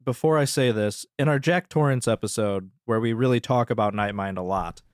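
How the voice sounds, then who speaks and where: Regular pitch guy cohosting a podcast, jokey, blunt, swears a bit, casual banter. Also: a little fast, no echo, clean studio sound. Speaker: podcast co-host.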